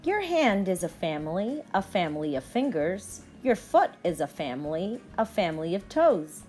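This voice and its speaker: A young woman teacher reading aloud to children with deliberate, expressive enunciation. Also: lowish voice, soft background music.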